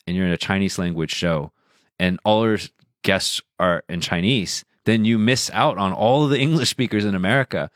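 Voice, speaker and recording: A man's voice: low voice, normal speed, no room sound, clean studio sound. A man co-hosting a podcast in casual chat, talking in a rambling way full of "like" and slipping into Mandarin. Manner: rambling, filler-heavy, switches into Mandarin. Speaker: podcast co-host.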